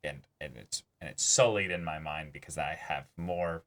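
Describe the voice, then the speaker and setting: A man with a very deep voice co-hosts a casual discussion of an anime episode. He speaks informally and haltingly, sounding invested in what he is talking about. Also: fairly bright tone. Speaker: co-host of an anime reaction show.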